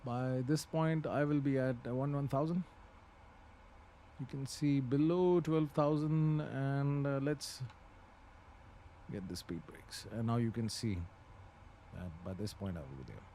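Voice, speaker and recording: A man's voice: mid-range voice, measured pace, long pauses, dark tone, slight background noise. A man giving live flight simulator commentary and pilot radio readbacks, hesitant and thinking aloud. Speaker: flight simulator livestream host.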